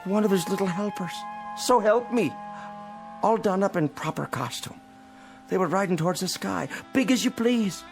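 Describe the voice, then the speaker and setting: A man's voice: high-pitched, normal speed, creaky, faint music behind. An actor playing a genial, tipsy policeman in a radio drama. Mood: astonished. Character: genial, tipsy Irish policeman heading home.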